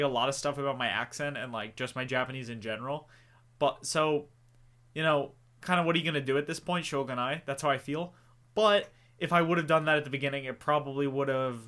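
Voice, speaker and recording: A man chatting to camera in a relaxed, rambling way, answering viewer questions with joking asides. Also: medium pitch. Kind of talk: casual Q&A chat to camera.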